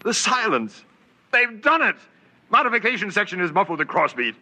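An actor playing a driven, authoritarian alien commander in a clipped, theatrical 1950s radio drama style. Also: male voice, high voice, sing-song, moderate pace, pushed and bright, full character voice. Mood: excited relief. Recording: noisy old radio recording.

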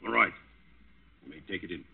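An actor playing a suspicious, bullying Gestapo guard in 1940s radio drama, brusque and ordering. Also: male voice, sits low, lively intonation, brisk, creaky, husky, full character voice. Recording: tinny old 1940s radio recording.

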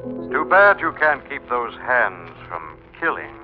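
An actor playing a mysterious invisible avenger in an old-time radio drama, taunting and stern, through a filtered, disembodied effect. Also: male voice, mid-range voice, big pitch swings, unhurried. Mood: stern, accusing. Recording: tinny filtered old radio, music behind.

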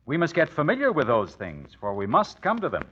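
An actor playing a president's eager, loyal grown son in an old-time radio drama, speaking in an earnest, animated way. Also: male voice, a little high, brisk, husky, full character voice. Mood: concerned. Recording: muffled, noisy vintage radio recording.

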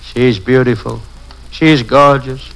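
An actor playing a wisecracking parody private detective, narrating gags deadpan in old-time radio comedy style. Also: male voice, medium pitch, slow pace, vocal fry. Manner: deadpan gag-telling narration. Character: wisecracking hard-boiled parody private detective, adult man. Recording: noisy, crackling vintage radio recording.

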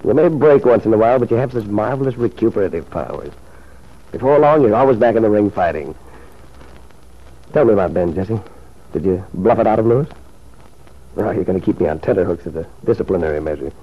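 An actor playing a smooth, scheming male lover in a radio drama, suave and coaxing in manner. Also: lowish voice, animated, fast talker, pauses often. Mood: coaxing, amused. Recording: muffled old radio drama recording.

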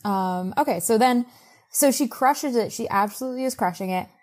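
A woman's voice: a little high, normal speed, darkish tone. A woman co-hosting a podcast, recapping a book in casual, wry, colloquial chat with playful exaggeration. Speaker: podcast co-host.

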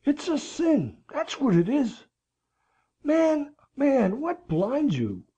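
A woman voicing a stubborn old country man with a husky voice and folksy phrasing, in a storybook reading. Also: high-pitched, wildly swooping pitch, slow, mellow, dull audio, pitched above the performer's own voice, full character voice. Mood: angry, pleading.

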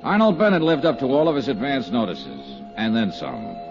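A man's voice: mid-range voice, huge pitch swings, normal speed, music in the background. An actor playing a hard-boiled male insurance investigator in a 1950s radio drama, narrating in clipped, dry first person. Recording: dull lo-fi old radio broadcast.

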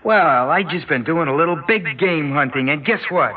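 An actor playing a cocky, menacing young gang leader, taunting in swaggering slang in an old radio drama. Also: male voice, a little high, expressive, moderate pace, natural voice. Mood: cocky mocking. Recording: tinny band-limited old radio, loud noise.

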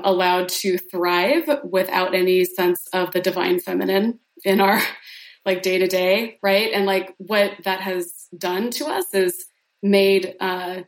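A woman guest on a podcast, explaining her views in a casual way, with many "um"s and "right?"s and some laughs. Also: medium pitch. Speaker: podcast guest, sex coach. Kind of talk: casual interview talk. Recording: roomy echo.

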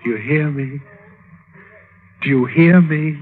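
A man's voice: a little high, slow pace. An actor playing a ruthless, grandiose Ottoman warlord in a theatrical, declamatory 1950s radio drama manner. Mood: cold, insistent. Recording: noisy, phone-like old radio recording.

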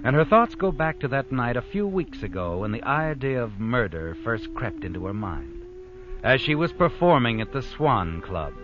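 A man's voice: medium pitch, sing-song, normal speed, close to an everyday voice. An actor playing an ominous, all-knowing mystery narrator who tells his tale in a brooding, dramatic 1940s radio style. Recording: dull old radio recording with prominent music.

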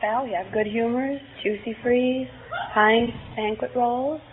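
A woman's voice: a little high, fairly even intonation, measured pace. A young woman from Indiana answering a host's questions briefly as a contestant on a vintage radio quiz. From United States, Indiana. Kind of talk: short answers in an on-air interview. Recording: tinny vintage radio, faint noise.

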